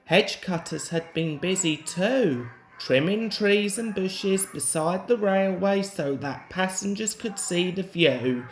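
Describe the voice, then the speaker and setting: A woman's voice: fairly low pitch, animated, slow pace, hardly any pauses, echoey room, slight background noise, rough recording. A woman narrating a children's storybook aloud as a reader.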